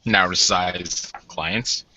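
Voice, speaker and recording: A man playing a nervous, newly married man in a tabletop game, speaking haltingly with wry formality. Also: sits low, pushed and bright, a little hiss or hum, exaggerated voice, low-quality recording. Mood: curious, probing.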